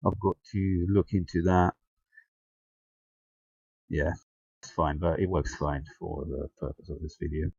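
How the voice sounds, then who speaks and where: Low-voiced, slow, hesitant man demonstrating. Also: fairly even intonation, creaky, darkish tone, slightly rough, dry recording. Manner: hesitant, halting, thinking aloud. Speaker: amateur radio video tutorial presenter. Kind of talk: casual hands-on screen demonstration narration. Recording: dull, lo-fi close sound.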